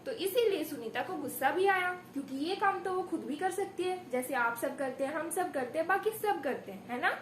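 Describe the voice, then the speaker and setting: A woman's voice: high-pitched, animated, normal speed, few pauses. A woman giving a demonstration lesson as a primary school teacher, expressively telling a story and prompting pupils with questions and praise. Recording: echoey room with faint background noise.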